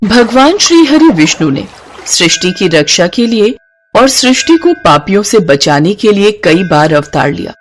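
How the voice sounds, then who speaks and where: A woman's voice: mid-range voice, sing-song, a little slow. A woman narrator delivering a scripted mythological tale. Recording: faint background noise.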